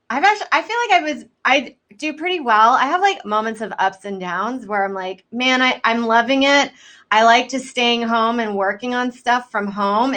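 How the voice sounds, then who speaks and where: Bright-voiced soft-spoken American woman. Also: on the high side. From Houston, United States. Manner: soft-spoken, lively, with many fillers. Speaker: comedian guest. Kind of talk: casual chat.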